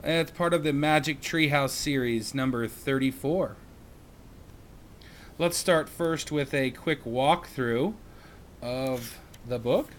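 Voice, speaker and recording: A man who teaches reads a children's chapter book aloud in a slow, expressive way, with small slips. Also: mid-range voice, slight background noise.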